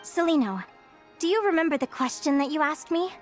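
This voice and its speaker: A woman playing a cheerful, stubbornly optimistic young adventurer in a video game, bubbly, excitable and earnest. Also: high voice, fairly quick, soft background music. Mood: earnest, resolute. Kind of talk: animated video game voice acting.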